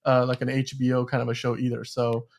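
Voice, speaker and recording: A man's voice: medium pitch, completely flat delivery, fast, gravelly, a little mellow. A man co-hosting a podcast, talking about film and TV in a casual, enthusiastic, rambling way. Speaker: podcast co-host.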